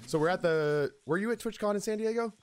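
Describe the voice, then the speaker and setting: Animated, foul-mouthed man telling stories. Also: sits high, fast talker, slight background noise. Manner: animated, profane, exaggerating. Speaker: game streamer. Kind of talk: casual comic storytelling on a stream. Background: music in the background.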